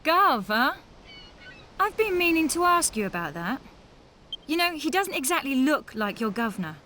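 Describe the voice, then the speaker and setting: High-voiced, feisty young sorceress. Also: female voice, sing-song, normal speed, bright, forceful tone, slight background noise, full character voice. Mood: curious, breezy. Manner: sassy, direct. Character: feisty, confident young woman mage, blunt and sharp-tongued. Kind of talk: animated video game voice acting.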